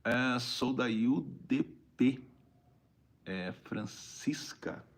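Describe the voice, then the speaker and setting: A Brazilian man with a raspy, gravelly voice, a preacher talking conversationally on a live stream and repeating phrases for emphasis. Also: medium pitch, drawn-out words. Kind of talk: informal livestream preaching, reading viewer greetings.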